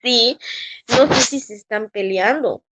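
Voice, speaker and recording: High-voiced Mexican woman telling her story. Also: a bit bright, poor audio. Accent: Mexican Spanish. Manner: acts out quoted voices, halting restarts. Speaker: interviewee recounting harassment. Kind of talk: emotional personal testimony.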